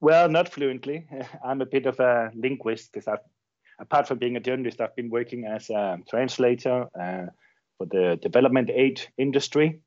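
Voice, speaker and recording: A middle-aged man from Denmark, a journalist, answering interview questions in a measured, thoughtful way. He often repeats words while he looks for the phrasing. The sound is dull and dry, with no room echo. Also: medium pitch, darkish tone. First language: Danish. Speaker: journalist guest. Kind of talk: interview conversation.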